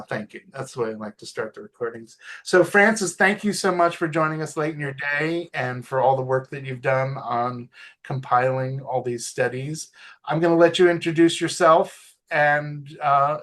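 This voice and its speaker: A man hosting a talk over a video call, his voice sitting a little high for a man. He keeps things light and jokey as he hands over to his guest. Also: moderate pace, a bit bright. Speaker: host. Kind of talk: hosting an online session.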